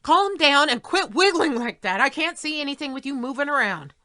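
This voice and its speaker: Audio play: an exasperated, hard-edged wife, commanding and sharp, fairly high and very animated. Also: female voice, brisk. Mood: cold command. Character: fed-up, tough wife and writer, hard-edged.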